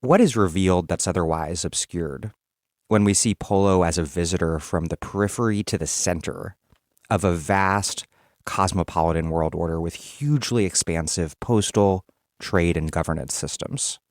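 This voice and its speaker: A man hosting a podcast, asking long, measured questions and reading quotes aloud. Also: low voice, dry recording, clean studio sound. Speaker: podcast host. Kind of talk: interview questions, partly read aloud.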